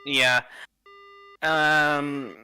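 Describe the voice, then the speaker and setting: A man narrating a horror game on a livestream, reading aloud deliberately with pauses. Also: fairly high pitch, fairly even intonation, sharp tone, faint music behind, rough recording. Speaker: streamer reading a visual novel aloud.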